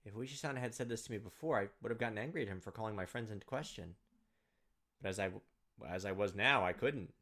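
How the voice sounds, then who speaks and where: A man's voice: lowish voice, quick pace, fairly bright tone. A man reading visual novel narration aloud in a dramatic storytelling style as a gameplay host, stumbling now and then.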